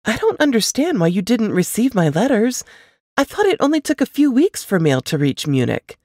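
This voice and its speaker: A woman voicing an anxious, warm and chatty elder sister in an audiobook, effusive and full of fussing concern. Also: on the high side, sing-song, normal speed, dry recording, studio quality, voice pushed up. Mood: dismayed, excited. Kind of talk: audiobook character dialogue.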